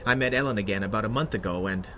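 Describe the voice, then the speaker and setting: A man playing an honest, awkward young policeman in a 1930s-style radio drama, halting, sincere and flustered. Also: lowish voice, noisy background, natural voice. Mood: troubled, sincere. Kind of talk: 1930s radio adventure serial acting.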